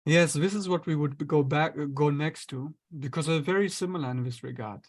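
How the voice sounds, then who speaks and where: Higher-voiced man explaining astrology calmly. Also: fairly quick. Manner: explains with acted-out inner voices and light laughs. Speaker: astrologer guest. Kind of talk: conversational explanation.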